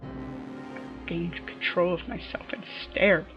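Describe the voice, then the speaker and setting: A woman narrating a scripted horror story in a dramatic manner, her phrases often dropping into a breathy hush. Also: fairly low pitch, steady tone, slow. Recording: muffled lo-fi home recording, music behind. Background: background music.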